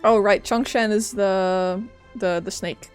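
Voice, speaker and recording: Casual woman streamer over soft music. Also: medium pitch, steady tone, slow. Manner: wry, offhand asides. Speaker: streamer playing a video game. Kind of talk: casual gameplay commentary. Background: game music.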